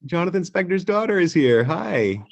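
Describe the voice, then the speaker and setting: A man hosting an informal online event over a video call, welcoming people in a halting, conversational way. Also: high-pitched, gravelly. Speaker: host.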